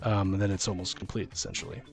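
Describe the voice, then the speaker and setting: A man's voice: low-pitched, flat delivery. Rambling man chatting over background music. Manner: rambling, halting, thinking aloud. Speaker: podcast co-host and game master. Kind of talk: casual chat about tabletop gaming.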